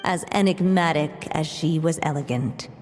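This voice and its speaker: A woman narrating a storybook tale softly and gently, over faint music. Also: low-pitched, in a large hall, natural voice. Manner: soft, slow and gentle.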